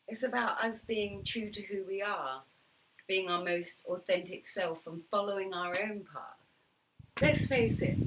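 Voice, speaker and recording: Low-voiced middle-aged woman, whispered asides. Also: recorded from a distance, low-quality recording. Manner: chatty, laughs often, drops into whispered asides. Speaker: vlogger. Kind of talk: reflective casual monologue to camera.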